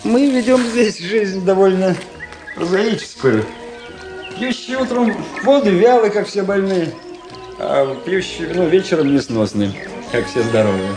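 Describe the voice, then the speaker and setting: A man's voice: sits high, lively intonation, a little slow. An actor playing a vain, embittered young military cadet in a radio drama, pompous and affected in his declamation. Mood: bitter, self-pitying. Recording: radio play with prominent music behind. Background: music.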